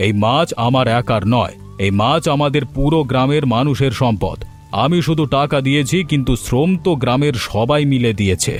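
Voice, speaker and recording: A man voicing a wise, modest middle-aged village benefactor in a cartoon, speaking gently and reasoning things through. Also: mid-range voice, a little fast, faint music behind, natural voice. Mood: kindly. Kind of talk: cartoon voice acting.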